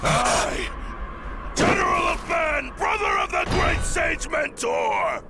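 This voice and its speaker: A proud, menacing rebel general in a video game. His high, heavily gravelled voice bellows defiance in slow, declamatory bursts, with noise behind it. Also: huge pitch swings, raised voice, exaggerated voice. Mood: enraged, defiant. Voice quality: rasping, very creaky. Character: defiant, proud male rebel warlord, fiercely loyal. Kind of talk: theatrical game battle acting.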